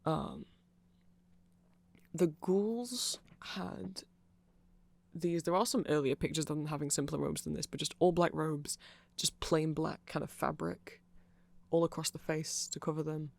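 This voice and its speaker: Creaky-voiced ASMR rambler, sex unclear. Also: medium pitch, a little slow, darkish tone. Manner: nerdy, self-deprecating, digressive. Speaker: ASMR creator explaining band lore. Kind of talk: casual rambling ASMR explainer.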